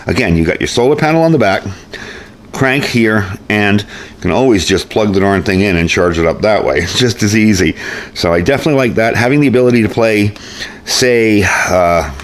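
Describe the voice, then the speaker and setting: A man's voice: on the low side, normal speed. A man giving a casual, chatty product review with informal, folksy phrasing. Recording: clear background noise.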